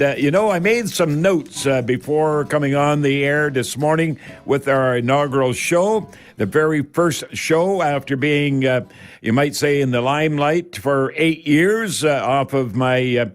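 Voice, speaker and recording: An older Canadian man hosting a home improvement call-in radio show in a folksy, rambling, emphatic way, speaking straight to his listeners. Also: medium pitch.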